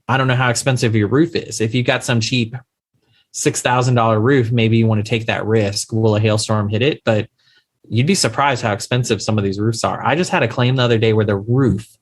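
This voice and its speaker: A man, an insurance attorney, explaining coverage to investors in an emphatic way, often with rhetorical questions, on a podcast interview. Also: medium pitch. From Louisiana, USA.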